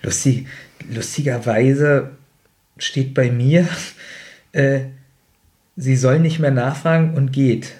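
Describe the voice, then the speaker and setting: A man's voice: mid-range voice, fairly even intonation, takes it slowly, long pauses, a little mellow. A man co-hosting a podcast in casual, conversational chat, chiming in with opinions and corrections on an audio drama. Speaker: podcast co-host.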